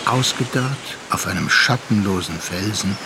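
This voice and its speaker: An actor playing a sea captain who tells his tale in a radio play, with measured, literary narration. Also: lowish voice, creaky, very noisy. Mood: reflective. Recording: music behind the voice.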